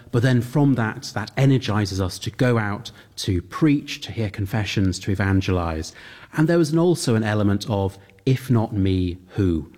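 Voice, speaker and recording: Low-voiced Welsh-born priest explaining earnestly. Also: male voice. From Wales. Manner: earnest, gently humorous, explanatory. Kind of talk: interview answers.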